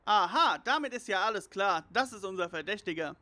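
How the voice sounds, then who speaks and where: A man's voice: high voice, expressive, a little fast, pushed and bright, heavily acted. A man playing a know-it-all young detective in a German audio drama parody. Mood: smug.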